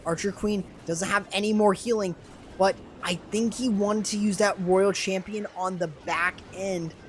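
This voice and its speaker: A young man commenting live on a video game match, excitable and quick to burst into exclamations, his voice strained and starting to go hoarse. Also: high-pitched, a little hiss or hum. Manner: excitable, rising to sudden exclamations. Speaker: esports commentator and streamer.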